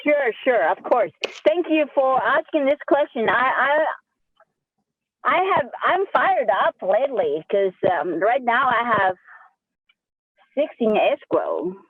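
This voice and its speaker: An enthusiastic woman with a Chinese accent speaks on a group coaching call, repeating herself as she keeps her energy up. Her voice sits a little high and comes through a tinny, dry phone line. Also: big pitch swings, takes it slowly, slightly rough, no echo. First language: Sichuan dialect. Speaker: real estate agent.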